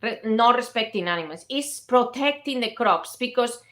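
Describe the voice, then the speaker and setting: A woman's voice: on the high side, expressive, normal speed, a bit bright. A woman from Spain with a Spanish accent, arguing insistently in a heated debate and often talking over the other person. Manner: insistent, interrupting, laughs often. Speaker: vegan activist host.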